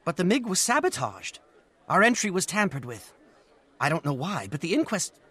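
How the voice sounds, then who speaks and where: An actor playing an indignant, excitable young student of a small goblin-like race in a video game, wordy and full of protest. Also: male voice, high-pitched, moderate pace, slightly rough, full character voice. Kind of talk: video game cutscene acting.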